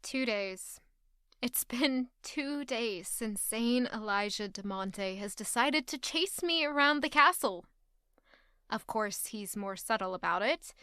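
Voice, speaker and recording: Audio drama: irritated young palace servant woman, forceful bright tone, sarcastic, guarded, stiffly courteous. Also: a little high, clear, resonant tone, no echo, studio quality. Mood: irritated, bitter. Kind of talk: audio drama acting with first-person narration.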